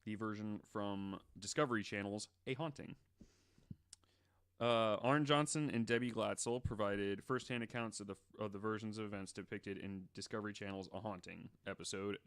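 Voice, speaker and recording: A man's voice: fairly low pitch, fairly even intonation, moderate pace, a bit bright, clean tone. A man on a casual podcast, reading out facts and breaking off into joking, profane asides. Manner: reads facts then riffs with profane jokes. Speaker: podcast host.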